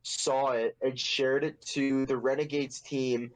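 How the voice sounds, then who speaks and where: A man's voice: mid-range voice, level tone, slow pace, rough recording. A young man hosting a casual podcast, telling a story in a rambling, profane, joking way. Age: young adult. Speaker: podcast host.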